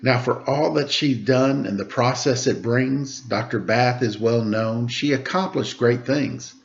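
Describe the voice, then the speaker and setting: Man, mid-pitched, gravelly, rhythmic rhyming read-aloud, chatty teacher asides, muffled lo-fi sound. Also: unhurried. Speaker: teacher reading a picture book aloud. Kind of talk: storybook read-aloud for children.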